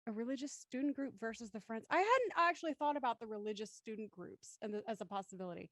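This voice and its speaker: A woman's voice: a little high, expressive, fast, fairly bright tone, dry recording, clean studio sound. A woman hosting a live script reading and reading the stage directions aloud.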